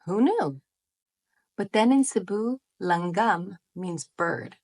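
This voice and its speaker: A woman speaks to her viewers in a friendly, explanatory tone. She often poses rhetorical questions in a voice of average pitch, as in a scripted vlog. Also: expressive, slow pace, darkish tone, breathy edge. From United States. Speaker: vlogger. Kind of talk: scripted talk to camera.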